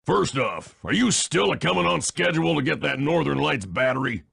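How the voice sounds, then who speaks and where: A rough, folksy train baron villain with a cowboy drawl. His voice is very creaky and swings widely in pitch, with a husky edge, like a big boss in a cartoonish game. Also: lively intonation, brisk, a bit bright, heavily acted. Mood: folksy, scheming. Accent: North American cowboy drawl. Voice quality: gravelly, rough.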